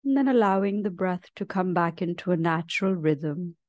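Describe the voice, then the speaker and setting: A woman leading a guided meditation as a teacher, speaking gently and leaving long, unhurried pauses between phrases. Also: on the low side, expressive, dry recording, muffled recording. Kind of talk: guided meditation, slow soothing instruction.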